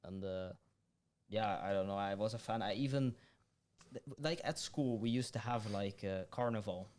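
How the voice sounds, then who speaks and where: A man's voice: lowish voice, moderate pace, darkish tone. A man hosting a podcast interview, hesitant and stammering, repeating words as he asks his questions. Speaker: podcast host. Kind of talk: casual interview chat.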